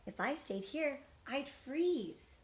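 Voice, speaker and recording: A woman's voice: high-pitched, animated, slow, pitched above the performer's own voice. A woman voicing a carefree, independent male butterfly from a storybook in a breezy, matter-of-fact way. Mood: polite, explaining.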